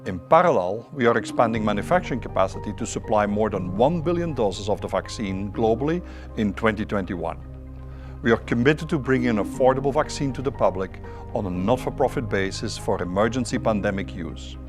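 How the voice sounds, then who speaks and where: A man delivering a scripted corporate statement in a steady, formal way. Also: fairly low pitch, brisk. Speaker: company spokesperson. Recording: music bed behind.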